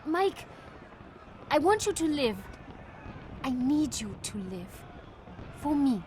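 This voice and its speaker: A determined young daughter in a game audio drama. Her voice is high and animated, earnest and urgent, with clear noise behind it. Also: slow pace, full character voice. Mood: desperate. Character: determined, anguished young woman, a scientist's daughter. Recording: noisy, busy ambient sound behind.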